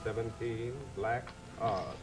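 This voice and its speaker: A man's voice: on the low side, fairly even intonation, slow pace. A man acting in an old-time radio drama, playing an impassive casino croupier who calls bets in a formal, droning patter. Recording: distant vintage radio recording, loud music.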